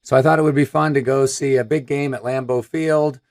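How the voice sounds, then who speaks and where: A man's voice: mid-range voice, steady tone, brisk, gravelly, a little mellow. A man in his fifties from Michigan with a scratchy voice, hosting a technical live stream in an upbeat, chatty way. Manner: upbeat, chatty, gestures while talking. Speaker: host. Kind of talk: live stream product presentation. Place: room with a brick wall backdrop.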